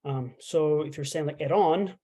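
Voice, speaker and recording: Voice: medium pitch, normal speed, dark tone. A language instructor giving a casual online lesson, explaining letters in a chatty way with fillers and playful asides.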